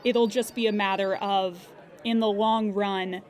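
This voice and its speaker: A woman's voice: mid-range voice, fairly even intonation, close to an everyday voice. A woman playing a dryly weary, procedural insurance claims agent in an improvised, conversational audio drama. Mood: professional, reassuring. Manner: procedural, measured, wry asides when musing.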